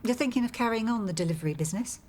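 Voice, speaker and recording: A woman's voice: a little high, animated, racing, darkish tone, a little hiss or hum, lightly acted. A woman playing an inquisitive, supportive friend in a radio soap. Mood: curious, supportive.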